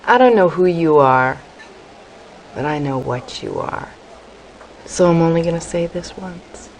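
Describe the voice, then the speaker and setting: Game cutscene: contemptuous soldier, slightly high voice, threatening delivery. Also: male voice, lively intonation, unhurried, slight background noise, rough recording. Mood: hostile warning. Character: hostile, contemptuous male resistance soldier. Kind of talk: video game cutscene acting.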